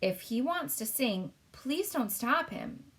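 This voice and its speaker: A woman voicing a gracious, composed Queen in a storybook, speaking in a polite, measured, formal way. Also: on the high side, very animated, pitched above the performer's own voice, heavily acted.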